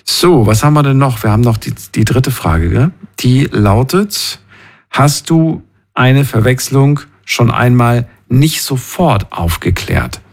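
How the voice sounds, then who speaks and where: A man's voice: medium pitch, very animated, measured pace, vocal fry. A man hosting a call-in radio show, chatting informally with listeners and reading out their messages. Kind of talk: casual chat, reading listener messages aloud.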